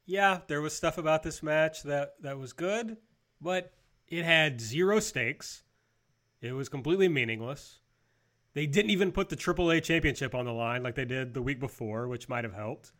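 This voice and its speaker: A man's voice: on the high side, normal speed, a bit bright. A man hosting a casual panel show, recapping and giving blunt opinions. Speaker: host of a wrestling review show.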